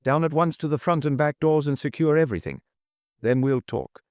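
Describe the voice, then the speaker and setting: A man's voice: fairly high pitch, fairly quick, a little mellow, no echo, clean studio sound, pitched above the performer's own voice, lightly acted. A man voicing a stiff military colonel in a storybook, harsh and raucous, issuing rigid commands. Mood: commanding. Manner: rigid, barking orders.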